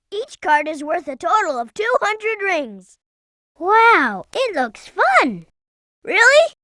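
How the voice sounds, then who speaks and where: A performer voicing a young boy fox sidekick in a video game, childlike, earnest and excitable, eager to explain things. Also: very high-pitched, no room sound, studio quality, heavily acted. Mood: excited, amazed. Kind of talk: video game character voice acting.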